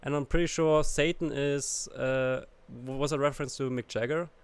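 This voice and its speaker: Brief: German-accented man, podcast guest, reads lyrics then muses haltingly, mid-pitched voice. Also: steady tone, clean tone, no room sound. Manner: reads lyrics aloud between halting, restarting comments. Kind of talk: casual podcast chat.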